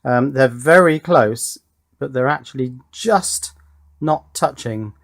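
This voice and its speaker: A man's voice: mid-range voice, lively intonation, slow pace. A man giving a casual hands-on electronics demonstration, thinking aloud in a chatty way and often putting little questions to himself. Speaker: host of an electronics workshop video.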